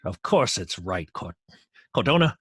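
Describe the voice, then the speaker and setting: An actor playing a bossy, irritable middle-aged police commissioner in an old-time radio crime drama, brusque and commanding. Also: male voice, deep voice, sing-song, measured pace, a bit bright, husky, no echo, clean studio sound, exaggerated voice. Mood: brisk, certain.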